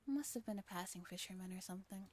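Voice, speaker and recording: A woman's voice: medium pitch, quick pace, a little mellow, pitched below the performer's own voice, natural voice. A woman voicing a flustered teenage boy from a visual novel, blunt and easily exasperated.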